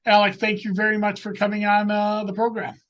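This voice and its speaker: Host, male, fairly high voice, hesitant delivery full of fillers, on a video call. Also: completely flat delivery, a bit bright. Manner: halting, many filler sounds. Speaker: podcast host and elections commissioner. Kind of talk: casual video-call interview.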